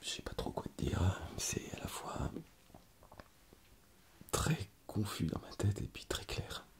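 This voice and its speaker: A man playing an earnest, affectionate middle-aged doctor and father in a whispered ASMR roleplay, halting and sincere. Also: fairly low pitch, creaky. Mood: earnest, moved.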